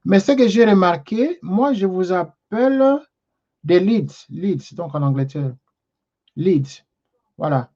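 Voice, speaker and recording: Man, TV talk host, hesitant, slightly high voice, muffled lo-fi sound. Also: lively intonation, slow, darkish tone. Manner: hesitant, many fillers and restarts. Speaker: television show host. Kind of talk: talk show host reading viewer messages.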